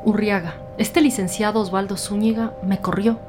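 A woman's voice: medium pitch, fairly even intonation, moderate pace, over music, lightly acted. A woman playing a direct, insistent detective with a businesslike, probing manner in an audio drama. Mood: frustrated.

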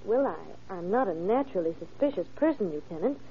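An actress playing an earnest, worried doctor's secretary who gives her account briskly and precisely in an old radio crime drama. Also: mid-range voice, lively intonation, mellow, lightly acted. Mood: troubled. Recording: dull vintage radio recording, faint noise.

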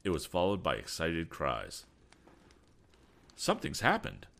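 A man voicing an eager, excitable young male adventurer in a storybook, calling out in exclamations. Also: very deep voice, moderate pace, gravelly, heavily acted. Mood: alarmed. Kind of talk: audiobook adventure character.

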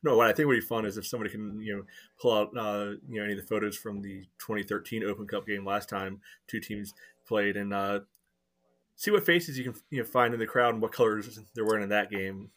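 Low-voiced rambling man. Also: steady tone, a little fast, fairly bright tone. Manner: rambling, sprinkled with "you know", dry jokes. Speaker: podcast co-host. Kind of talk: casual podcast chat.

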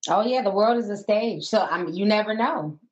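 A woman's voice: mid-range voice, lively intonation, brisk, a little mellow, muffled recording. A woman hosting a call-in show, chatting casually and giving listeners advice.

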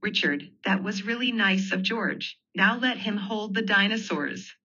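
A woman's voice: mid-range voice, animated, a little slow, pushed and bright, breathy edge, exaggerated voice. A woman voicing a kindly, patient mother rabbit in a storybook, coaxing in a motherly way. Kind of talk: storybook character voice. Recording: dull lo-fi audio.

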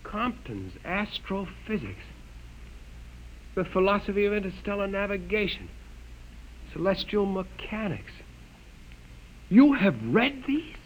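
An actor playing a proud but frightened young male scientist in a 1950s radio drama, earnest and quick to indignation. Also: sits high, sing-song, a little hiss or hum, full character voice, rough recording. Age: young adult. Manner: earnest, indignant, often alarmed.

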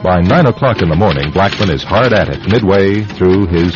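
A man hosting a radio drama, reading scripted narration in a measured, suspenseful, theatrical way. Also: low-pitched, expressive. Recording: dull lo-fi radio recording, music bed. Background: music.

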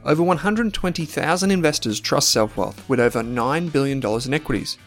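A man's voice: medium pitch, animated, a little fast, soft background music. An Australian man hosting an investing podcast, reading an ad and then telling a story to his guest in a chatty way with fillers. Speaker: podcast host. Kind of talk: podcast interview with read ad.

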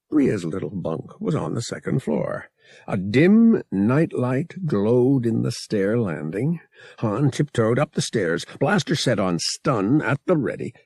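A man's voice: medium pitch, gravelly, darkish tone, slightly rough, no room sound, studio quality. A man reading an adventure novel aloud as dramatic scripted narration. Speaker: audiobook reader.

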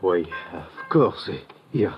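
An actor playing a small, polite, hurried Parisian man, fussy and formal, in old radio drama style. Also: sits low, very animated, exaggerated voice. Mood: polite, flustered. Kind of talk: 1950s radio drama acting. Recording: muffled old radio recording with music.

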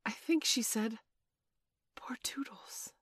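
An excitable, nervous young boy from a storybook gang as a woman narrator acts it out. The voice is exclamatory and pitched above her own, in a dry recording. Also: normal speed, dry recording, natural voice. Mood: amazed. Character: excitable band of young boys, anxious and awestruck.

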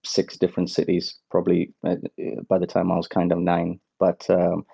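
A man from Zambia, a guest in a casual interview on a call, talking haltingly with many fillers and restarts. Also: deep voice, completely flat delivery, gravelly, dark tone, slightly rough, no room sound. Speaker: guest, former footballer. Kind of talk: casual interview over phone. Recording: dull lo-fi phone-call audio.

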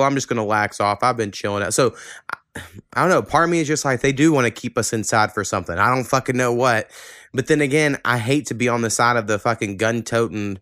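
Lowish-voiced man casually hosting a podcast. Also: a bit bright, clean tone, dry recording, clean studio sound. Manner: self-deprecating, loose, informal with casual swearing. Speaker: podcast host. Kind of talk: casual conversational podcast talk.

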